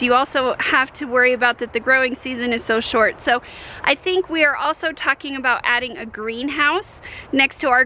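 Bright, high-voiced American woman vlogger. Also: slight background noise. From Colorado, United States. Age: middle-aged. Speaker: homesteading vlogger and host. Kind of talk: casual vlog chat to camera. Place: outdoors in a yard, later in a parked or moving car. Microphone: camera at arm's length.

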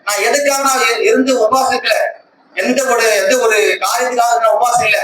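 High-voiced Tamil man testifying, echoey and noisy. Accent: Tamil Nadu. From Tamil Nadu, India. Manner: earnest, emphatic, repeats phrases for emphasis. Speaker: church member giving a testimony, lay speaker. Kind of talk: personal religious testimony to a congregation. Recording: echoey, distant conference call with loud noise.

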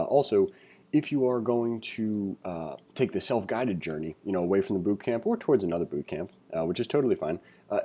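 A man giving a read-along coding tutorial, reading aloud and thinking out loud with informal asides. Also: fairly low pitch, husky. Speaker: instructor.

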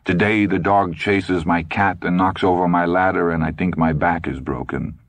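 A man's voice: low-pitched, steady tone, normal speed, no room sound. A man voicing a grumpy, long-suffering older husband in an audiobook story, irritable and dour. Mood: pained, resigned.

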